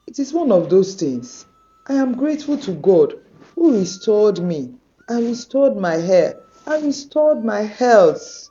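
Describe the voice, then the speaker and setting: Woman voicing a calm, dignified wronged woman. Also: highs cut off. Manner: low, quiet and measured.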